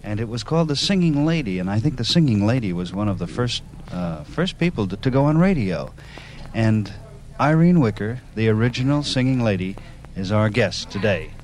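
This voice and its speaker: A man's voice: mid-range voice, animated, normal speed, a little mellow, slight background noise. A man hosting a radio program and conversationally interviewing a guest. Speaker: radio show host, interviewer.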